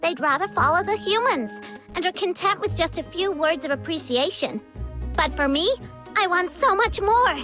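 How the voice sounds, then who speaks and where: A woman's voice: extremely high pitch, lively intonation, normal speed, bright, forceful tone, exaggerated voice. A woman voicing a small, childlike horned creature in a video game, boastful and grandiose as a self-styled demon king. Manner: grandiose, cocky. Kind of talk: video game character acting. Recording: music behind the voice.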